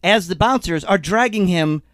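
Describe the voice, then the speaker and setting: A man co-hosting a podcast in a studio, telling a story in a lively way and laughing as he goes, a little sick and congested. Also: high-pitched, normal speed, dry recording. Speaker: co-host. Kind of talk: casual storytelling chat. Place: recording studio. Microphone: studio mic.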